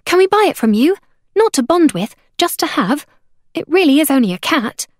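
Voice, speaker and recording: A woman voicing an earnest, shy young girl in an audiobook, high and impulsive, as if bursting out with it. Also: very animated, normal speed, a little mellow, no room sound, studio quality. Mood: pleading. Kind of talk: audiobook character voice.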